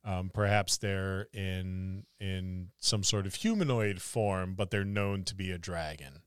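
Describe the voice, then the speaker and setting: A man's voice: sits low, slow pace, clean tone, no echo, studio quality. A man co-hosting a gaming podcast as a game master, discussing things casually.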